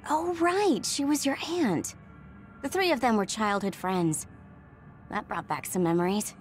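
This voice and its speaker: A woman's voice: mid-range voice, animated, measured pace, natural voice. An actress playing a wistful, chatty female soldier in a video game. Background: faint music.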